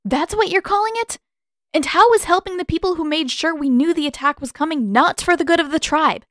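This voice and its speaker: Storybook role: woman playing a defiant, indignant teenage girl, raised high pitch, sharp accusing delivery. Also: fairly quick, dry recording, studio quality.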